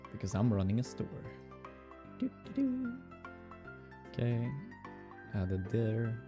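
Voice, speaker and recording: A young man with a Swedish accent, streaming casual game development and musing aloud with fillers and soft laughs. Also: mid-range voice, wildly swooping pitch, takes it slowly, music in the background. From Sweden. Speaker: game developer streaming his hobby project. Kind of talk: casual live-coding stream chatter.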